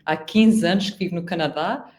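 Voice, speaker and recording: A woman with a European Portuguese accent giving formal opening remarks as a panelist, often pausing with "eh". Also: on the low side, a little mellow. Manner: formal, frequent "eh" hesitations.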